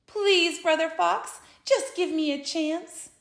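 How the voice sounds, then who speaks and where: A timid little frog who stumbles over his words and pleads to be allowed to sing, as a woman storyteller puts him on. The voice sits high and rings in a roomy space. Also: takes it slowly, vocal fry, exaggerated voice. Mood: shy, pleading. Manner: stammering, timid. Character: shy, hopeful young male frog who wants to sing. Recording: roomy echo.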